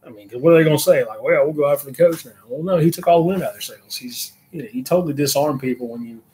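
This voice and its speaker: A man's voice: on the high side, racing, mellow, poor audio. A man in his late thirties co-hosting a podcast in casual chat, emphatic and repeating words for effect. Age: 30s. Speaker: podcast co-host.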